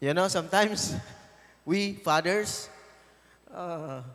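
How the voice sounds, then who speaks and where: A man's voice: high-pitched, slow pace, fairly bright tone, in a large hall. A man in his fifties with a Filipino accent, preaching a sermon as guest pastor, emphatic and repeating phrases for stress. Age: 50s. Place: church.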